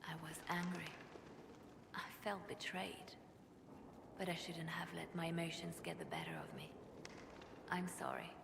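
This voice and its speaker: Solemn game spymaster lady, sad and devout, lowish voice, noisy echoey hall. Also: very animated, a little slow, gravelly, long pauses, full character voice. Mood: sorrowful, subdued. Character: melancholy, devout female spymaster haunted by grief. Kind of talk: video game cutscene acting. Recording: echoey hall, loud background noise.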